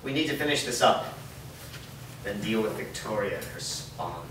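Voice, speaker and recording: A man's voice: lowish voice, lively intonation, moderate pace, a bit bright. A man playing a grave, loyal and resolute companion in a staged reading. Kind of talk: staged reading of a drama script. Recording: noisy, very echoey hall from far off.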